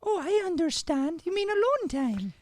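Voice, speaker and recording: High-voiced, coy Irish girl, played by a woman. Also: sing-song, moderate pace, darkish tone, no room sound, clean studio sound. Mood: coy, confident. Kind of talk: improvised old-time radio comedy play.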